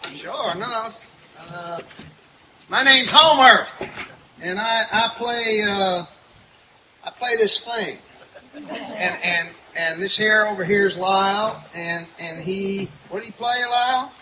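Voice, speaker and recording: A man's voice: high voice, slow, long pauses, background noise. A man playing a bumbling country jug band musician with a hesitant, stumbling manner in a radio comedy. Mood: bashful. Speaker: actor in a live old-time radio show. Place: outdoor night stage in the woods. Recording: distant outdoor stage sound.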